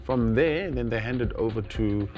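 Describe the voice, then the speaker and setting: A man's voice: medium pitch, moderate pace, darkish tone, lo-fi sound, soft background music. A South African man giving formal explanations as an interview guest, in hesitant, stop-start phrases. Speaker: guest, air traffic controller. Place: TV studio.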